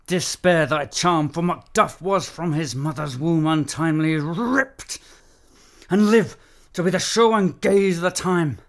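An actor playing a fierce, grieving, vengeful warrior in a classical radio drama, declaiming impassioned verse. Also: male voice, fairly high pitch, a little slow, fairly bright tone. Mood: furious, scornful.

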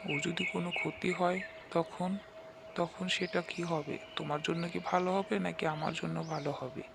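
A man's voice: a little high, level tone, moderate pace, vocal fry, background noise. A man playing a worried, humble village husband in a cartoon, earnest and pleading. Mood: anxious, persuading. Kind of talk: Bengali cartoon character voice acting.

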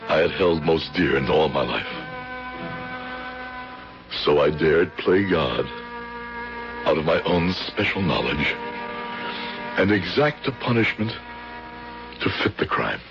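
A man playing a grave, guilt-ridden older psychology professor in a solemn, deliberate 1970s radio drama style, on a vintage recording. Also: very deep voice, expressive, gravelly, heavily acted. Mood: remorseful, brooding. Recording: muffled vintage radio recording, music behind.

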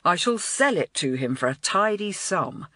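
A woman reading aloud and voicing a confident, breezy schoolboy in a lower, quick, self-assured voice. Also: sing-song, pushed and bright, no room sound, studio quality, full character voice.

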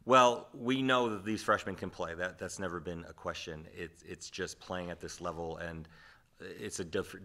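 A man in his forties, a head volleyball coach, answering reporters' questions in a postgame press conference at a desk mic, measured and reflective with frequent restarts. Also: deep voice, bright, forceful tone. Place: press room with sponsor backdrop. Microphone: desk microphone.

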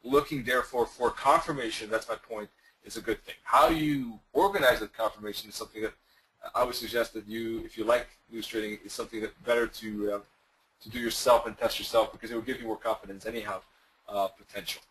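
A man's voice: on the low side, steady tone, brisk, a bit bright, poor audio. Man with a strained, fading voice. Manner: loose, conversational, with many fillers and restarts. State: losing his voice, clearing throat. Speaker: trading webinar presenter. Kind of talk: screen-share webinar explanation.

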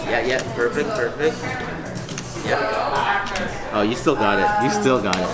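A chatty man in his thirties talks at an easy middle pitch while he directs a photo shoot. He coaxes his model along with quick, cheerful praise. Music plays loudly behind him. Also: very animated. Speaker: photographer and vlog host. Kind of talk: casual behind-the-scenes vlog, directing a model. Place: small coffee shop indoors.

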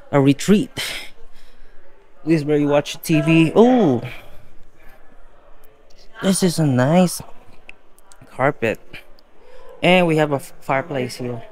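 Slow-talking man touring a home. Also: mid-range voice, darkish tone. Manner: slow, chatty, admiring remarks. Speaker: real estate agent touring a home. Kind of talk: casual home tour walkthrough. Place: large furnished house, indoors. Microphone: handheld phone camera. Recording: other visitors chatting behind.

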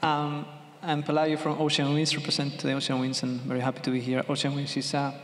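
A man introducing himself on a conference panel, quick and eager. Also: medium pitch, monotone. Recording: echoey hall.

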